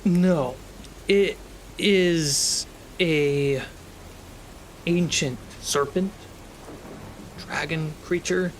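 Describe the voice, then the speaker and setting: A man runs a tabletop fantasy game as its storyteller. His voice sits a little high and he takes his time, pausing for drama. Faint storm ambience runs underneath. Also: slight background noise, rough recording. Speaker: game master. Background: storm and ship sound effects.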